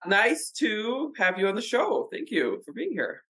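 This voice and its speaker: A Russian-born woman in her forties hosts a podcast chat, upbeat and quick to laugh. She restarts her sentences often and keeps the talk casual. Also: mid-range voice, big pitch swings, a bit bright, breathy edge. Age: 40s. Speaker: podcast host.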